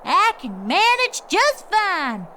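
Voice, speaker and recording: Feisty elderly mare, high-pitched, stubborn and defiant, for a cartoon fan story. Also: female voice, wildly swooping pitch, slow, pushed and bright, a little hiss or hum, exaggerated voice. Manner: defiant, folksy. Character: elderly feisty stubborn female pony grandmother.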